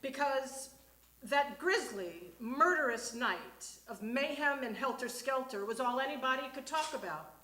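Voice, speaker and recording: A woman performing a comic personal monologue on stage, in a halting, conversational way with dramatic pauses. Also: high-pitched, lively intonation, bright, forceful tone, a little hiss or hum. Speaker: reader at a live storytelling show. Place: small theatre stage. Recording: echoey live stage recording.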